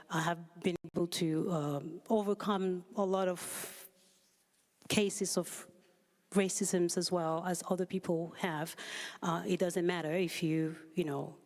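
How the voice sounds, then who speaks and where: Low-voiced woman speaking haltingly in a hall. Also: a little mellow. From Haiti. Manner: halting, searching for words. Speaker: panel speaker. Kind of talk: unscripted personal account. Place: large hall at a public forum. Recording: echoey hall reverb.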